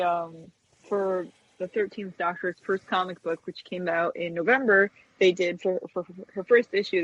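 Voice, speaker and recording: A woman's voice: fairly low pitch, breathy edge, no echo. A chatty woman guest, an animator explaining her craft casually in an interview over dull web audio. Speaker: guest stop-motion animator and puppet maker.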